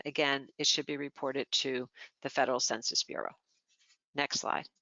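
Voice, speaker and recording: Presenter going thru slides one by one, smooth clear voice, bit muffled lo-fi webinar sound. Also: medium pitch, dry recording. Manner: steady, explanatory, walks through each slide point by point. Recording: slightly dull lo-fi webinar audio.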